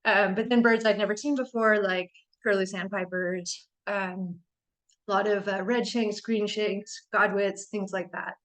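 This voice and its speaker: Woman, slide talk presenter, losing her voice, throat-clearing, filler ums, enthusiastic, from north america. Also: mid-range voice, steady tone, measured pace, a bit bright, rough recording. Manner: enthusiastic, chatty.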